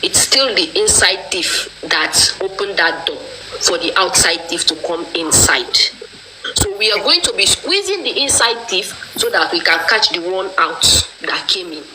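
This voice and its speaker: A woman's voice: lowish voice, lively intonation, measured pace, noisy background. Passionate Nigerian woman quoting proverbs. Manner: passionate, accusatory, uses proverbs. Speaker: discussion participant. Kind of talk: casual online discussion.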